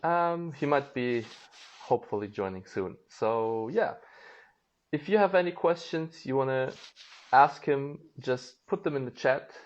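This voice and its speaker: A man's voice: medium pitch, lively intonation, unhurried, muffled recording. A man with a German accent, hosting a casual livestream interview, chatty and laughing often with many fillers. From half German, half Japanese. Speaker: label host. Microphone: phone livestream.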